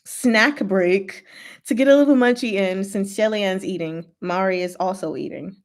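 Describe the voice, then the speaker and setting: A woman's voice: medium pitch, moderate pace. A woman hosting a casual livestream, playful and informal, chatting and reading stories aloud. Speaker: host of a livestream.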